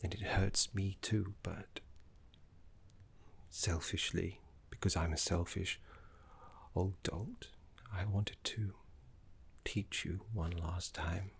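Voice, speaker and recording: An elderly gentleman necromancer played by a man speaks in a slow, deep voice that keeps sinking into a husky whisper. He is gentle and wistful, with formal, genteel wording and halting phrases, in the intimate style of an ASMR roleplay. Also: fairly even intonation, heavily acted. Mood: wistful, regretful. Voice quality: breathy, husky, soft half-whisper.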